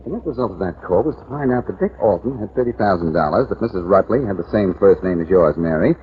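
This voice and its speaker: Glib radio sleuth, lively swooping delivery. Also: male voice, low-pitched, quick pace. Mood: glib, bantering. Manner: glib, bantering, theatrical. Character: wisecracking, confident amateur sleuth, smooth-talking man. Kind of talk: 1940s radio detective drama acting. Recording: dull, noisy vintage 1940s radio recording.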